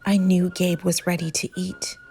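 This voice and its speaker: A woman reading a children's storybook aloud as an expressive narrator. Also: lowish voice, normal speed, creaky, slightly rough. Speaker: story reader, channel host. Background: music and sound effects.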